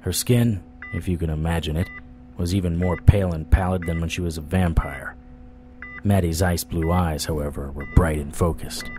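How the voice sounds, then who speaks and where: An actor playing a world-weary supernatural private eye, narrating an audio drama in a wry, deadpan way. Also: male voice, deep voice, normal speed, soft background music, natural voice. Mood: brooding, grim. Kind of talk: noir first-person audio drama narration.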